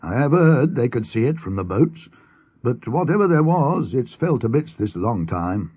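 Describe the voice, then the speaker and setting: A man's voice: medium pitch, big pitch swings, normal speed, a little mellow, slightly rough, dry recording. A man voicing plain-spoken, superstitious villagers in an audiobook ghost story, with a folksy, knowing manner. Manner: folksy, knowing, dialect grammar. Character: rustic Suffolk villagers at the inn, plain-spoken, superstitious old-time locals.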